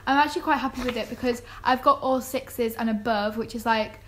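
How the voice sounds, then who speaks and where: A teenage girl from England chatting casually in a vlog, her voice croaky from illness, rambling with lots of fillers, filmed at home on her phone and then her camera. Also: on the high side, brisk, fairly bright tone, slight background noise, low-quality recording. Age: teens. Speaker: vlogger. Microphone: handheld phone, then camera.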